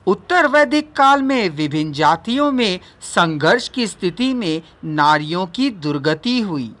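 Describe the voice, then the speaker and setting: A woman narrating a non-fiction book as a scripted reading, deliberate and formal, pausing between phrases. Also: fairly low pitch, big pitch swings, fairly bright tone. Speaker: audiobook narrator.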